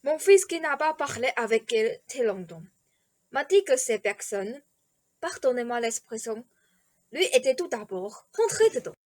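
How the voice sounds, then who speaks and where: A ceremonious, over-polite king from a fairy-tale radio play, voiced by a woman. The voice is on the high side and measured, with a dry, close sound. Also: big pitch swings, darkish tone, no room sound. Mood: courteous, confiding. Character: courteous, eccentric, ceremonious old-fashioned king, a doting father. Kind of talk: theatrical radio play acting.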